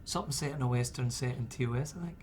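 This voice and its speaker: A young man, chatting casually as an actor at a roundtable interview, relaxed and full of fillers. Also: mid-range voice, racing, a little hiss or hum. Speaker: actor guest.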